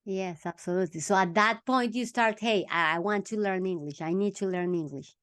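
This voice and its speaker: Female host, middle register; brief questions and affirmations in a relaxed interview. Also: medium pitch, a little fast. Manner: short follow-up questions and affirmations. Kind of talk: conversational interview.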